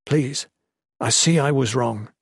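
A man's voice: mid-range voice, slow, gravelly, slightly rough, no room sound, studio quality, exaggerated voice. A man voicing a distressed, contrite young male protector who pleads in formal, courtly wording for a storybook. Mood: anguished pleading.

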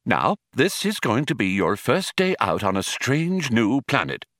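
A man's voice: mid-range voice, very animated, measured pace, a bit bright, no echo, clean studio sound. A man voicing a bossy, fussy spaceship computer with a matriarchal twang in a comic science-fiction audiobook. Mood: cheery, fussing. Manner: matriarchal twang, scolding nanny-like.

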